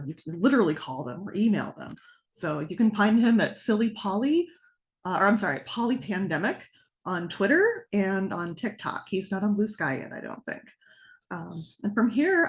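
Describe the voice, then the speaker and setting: A woman's voice: mid-range voice, sing-song, moderate pace, low-quality recording. A woman hosting an online event, making informal introductions and handing over between speakers. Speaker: event host.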